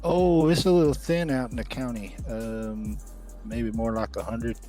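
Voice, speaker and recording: A man's voice: mid-range voice, steady tone, a little slow, warm tone, faint music behind, close to an everyday voice. A man role-playing an agreeable, respectful county business operator in a game, talking casually and courteously. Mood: easygoing. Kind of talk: improvised in-character role-play.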